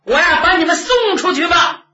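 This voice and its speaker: A sighing, motherly old nun, as a woman storyteller puts her on, with a bright, lively voice that swoops up and down. She talks slowly and chattily. The recording is dull and lo-fi. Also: very high-pitched, voice pushed up, heavily acted. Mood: tender.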